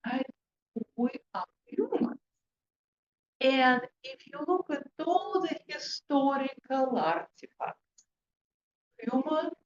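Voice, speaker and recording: High-pitched non-native woman presenter, echoey room. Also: fairly bright tone. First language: not English. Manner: emphatic, questioning, short deliberate phrases. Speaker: presenter, hypnotherapist. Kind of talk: interactive talk to a group. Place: room with an audience, indoors. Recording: echoey, muffled room recording with background noise.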